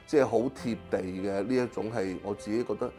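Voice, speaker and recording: A middle-aged man with a Hong Kong Cantonese accent, a Chinese medicine practitioner explaining his work in an interview, pausing often with drawn-out fillers. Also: lowish voice, dark tone, soft background music. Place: small clinic consulting room.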